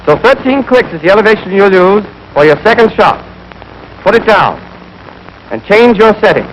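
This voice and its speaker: A man's voice: high voice, lively intonation, normal speed, lo-fi sound. A young American man giving scripted, clipped, step-by-step marksmanship instruction as an army instructor in a 1940s training film. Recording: noisy 1940s film soundtrack.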